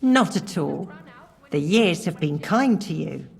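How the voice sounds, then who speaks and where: An actress playing a sharp-tongued, warm-hearted middle-aged castle cook with a wry, no-nonsense manner in a game cutscene. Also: female voice, on the low side, expressive, slow pace, creaky, husky, heavily acted. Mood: nostalgic, chiding.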